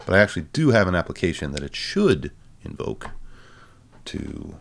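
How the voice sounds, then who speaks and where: A man giving a casual, halting tech demo of a hobby project, dryly informal and self-deprecating, with a gravelly voice. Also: rumbling low, big pitch swings. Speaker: presenter of a hobby electronics project.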